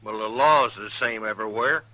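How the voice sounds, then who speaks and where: A man's voice: on the low side, normal speed, bright, forceful tone, no echo, poor audio. An actor playing a smug, menacing hired gunman with a folksy, insolent drawl in a 1950s radio western. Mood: sly, insolent.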